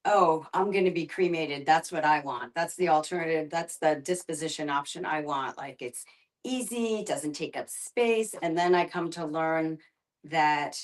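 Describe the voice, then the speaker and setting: A woman's voice: deep voice, unhurried. A woman facilitating a casual group discussion, thinking aloud in a hesitant way.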